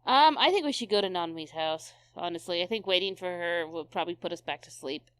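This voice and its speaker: A woman's voice: lowish voice, quick pace, smooth. A woman co-commentating on a game stream in casual, joking banter.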